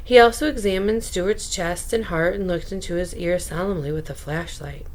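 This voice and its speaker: A woman reading a children's book aloud as a bedtime storyteller, expressive, with chatty asides to young listeners. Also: fairly low pitch, moderate pace.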